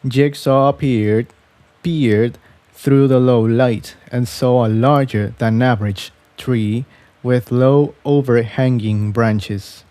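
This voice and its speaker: A man narrating a fan fiction story in a measured, scripted reading style. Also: mid-range voice, steady tone, mellow, no echo.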